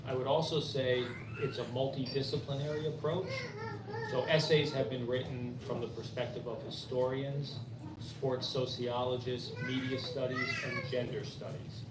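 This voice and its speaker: A man co-editing a book, giving measured opening remarks with frequent fillers at a bookstore author event. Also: mid-range voice. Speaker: book co-editor. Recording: roomy, far-off mic, ambient noise.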